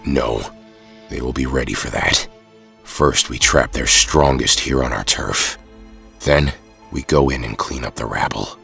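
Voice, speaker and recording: A man acting in an audio drama as an earnest hero and his cold tyrant double, with a gravelly, husky voice. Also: a bass voice, measured pace, pushed and bright, soft background music, full character voice. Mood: cold, commanding.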